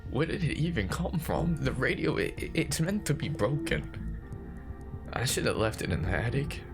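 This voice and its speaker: A man's voice: mid-range voice, sing-song, normal speed, over music. A man playing an anxious, grieving older brother recording confessional horror voice notes, jittery, stammering and questioning himself. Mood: frightened, weary. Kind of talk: found-footage horror audio monologue, confessional voice notes.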